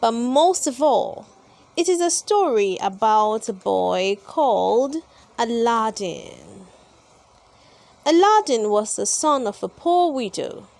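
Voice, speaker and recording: A woman with a high voice reads a children's storybook aloud. Her pitch moves up and down in a lively way, and she takes her time, placing deliberate, dramatic emphasis on the words. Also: gravelly, no room sound. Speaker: storybook reader and channel host. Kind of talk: expressive children's read-aloud narration.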